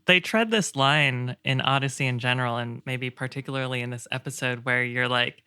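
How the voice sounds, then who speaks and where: A man muses through a casual podcast discussion. His mid-range voice is very bright and clean, recorded dry. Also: medium pitch, moderate pace, clean tone, no room sound.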